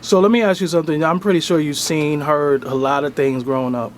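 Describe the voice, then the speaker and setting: A man's voice: fairly high pitch, steady tone, brisk, slight background noise. Slangy laid-back male interviewer. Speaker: interviewer and host. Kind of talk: casual hip-hop interview. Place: recording studio.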